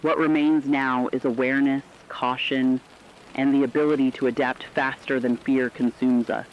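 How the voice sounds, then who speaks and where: A man plays a solemn radio co-anchor with a medium-pitched voice. His delivery is measured and eloquent, like scripted catastrophe news drama. The sound is slightly dull and band-limited. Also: level tone, a little hiss or hum, natural voice.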